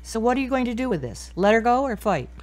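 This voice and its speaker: A woman's voice: a little high, sing-song, quick pace, darkish tone. A woman playing a caring but firm confidante in an audio drama, direct and probing. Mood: challenging.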